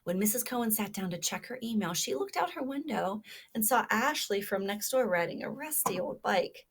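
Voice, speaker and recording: A woman's voice: a little high, expressive, normal speed, slightly rough. A woman reading a children's story aloud expressively, stopping to prompt her young listeners with questions. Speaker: storybook reader.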